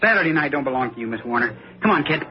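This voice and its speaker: An actor playing a cocky, menacing teenage gang leader in old radio drama, with slangy, taunting toughness. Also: male voice, mid-range voice, lively intonation, racing, lightly acted. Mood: cool menace. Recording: muffled vintage radio broadcast with music.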